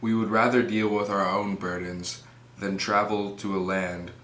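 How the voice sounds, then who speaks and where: A man's voice: fairly low pitch, flat delivery, measured pace, fairly bright tone. A man voicing a troubled prince's grave, reflective thoughts in a scripted plain-English reading. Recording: echoey room with background noise.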